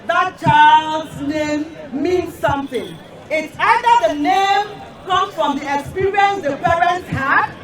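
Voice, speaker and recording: A woman emcees an outdoor festival, emphatically repeating and explaining answers. Her high voice rings through an echoey loudspeaker and sounds distant. A crowd murmurs steadily behind her. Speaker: emcee quizzing children. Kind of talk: public address at an outdoor festival. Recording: echoey amplified sound from afar over crowd. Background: crowd chatter.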